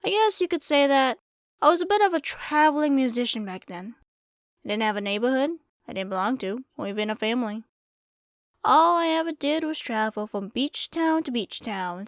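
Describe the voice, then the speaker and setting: Woman voicing a folksy, high-pitched Wild West saloon keeper. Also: lively intonation, normal speed, dry recording, studio quality, lightly acted. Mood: wistful, reminiscing. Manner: folksy, rambling, drawling storytelling. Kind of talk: ASMR roleplay, storytelling to the listener.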